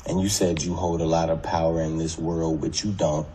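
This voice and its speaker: Very deep flat-voiced man, contemptuous. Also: normal speed, a little hiss or hum. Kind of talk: heated commentary clip.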